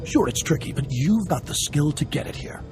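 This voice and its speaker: An actor playing a jovial, scheming smuggler boss in a video game, gravelly and persuasive. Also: male voice, a little high, lively intonation, brisk, lightly acted. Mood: upbeat persuading. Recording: faint music behind the voice.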